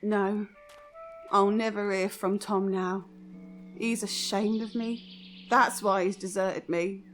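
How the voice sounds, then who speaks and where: A woman's voice: mid-range voice, little pitch movement, takes it slowly, soft background music, close to an everyday voice. An actress playing a despairing young working-class English servant girl in a vintage radio drama, speaking plaintively and emotionally. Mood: forlorn. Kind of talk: 1940s radio drama acting.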